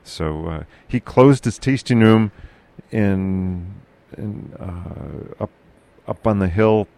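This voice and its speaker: Low gravelly man with a carrying voice. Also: dry recording. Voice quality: loud, carrying voice. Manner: halting, many fillers and restarts. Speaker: podcast host. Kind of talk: casual local news chat.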